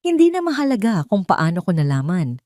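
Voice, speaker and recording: A woman's voice: mid-range voice, huge pitch swings, fairly quick, mellow, dry recording, clean studio sound. A woman with a Filipino accent voicing a wealthy, wounded, determined son confronting his mother in an audio drama. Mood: angry, accusing. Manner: intense, accusing.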